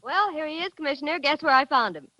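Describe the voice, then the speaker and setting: A woman's voice: high-pitched, fast talker. An actress playing a perky, teasing office woman in a 1940s radio drama. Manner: teasing, lively. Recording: dull old radio recording.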